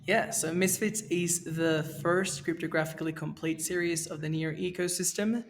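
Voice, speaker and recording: A man in his thirties from Venezuela, a podcast guest speaking in a relaxed way, with fillers and restarts. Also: fairly high pitch, moderate pace, a little hiss or hum. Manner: relaxed, with fillers and self-corrections. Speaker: guest, NFT project co-creator. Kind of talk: casual podcast interview. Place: Mexico, where he is now.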